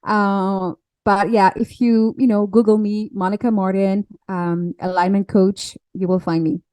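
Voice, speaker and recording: A woman whose first language is French speaks English in a relaxed podcast chat, often pausing on fillers and restarting her sentences. Her pitch sits in an ordinary middle range. The recording is dry and close, with no room sound. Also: smooth, no echo. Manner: halting, with many fillers and restarts. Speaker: podcast guest, alignment coach. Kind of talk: casual interview chat.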